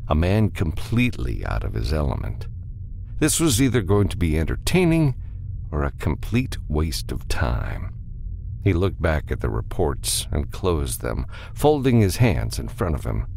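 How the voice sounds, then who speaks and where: A man's voice: extremely low pitch, wildly swooping pitch, unhurried, gravelly, a little mellow, slight background noise. A man reading a science fiction audiobook as narrator, in a dramatic scripted style.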